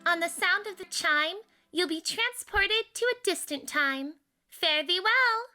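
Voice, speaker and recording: Woman as a high-voiced, solemn pony spirit. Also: animated, unhurried, pushed and bright, faint music behind, full character voice. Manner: formal, rhyming, theatrical. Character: mystical pegasus or pony spirit, solemn, rhyming guide. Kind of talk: dramatized fan fiction reading.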